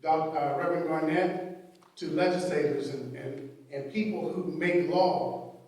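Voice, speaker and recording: Male panel moderator, rather high voice, booming church echo, mic at a distance; loose, filler-heavy delivery. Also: unhurried, darkish tone. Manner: conversational, loose, with frequent fillers. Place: church sanctuary.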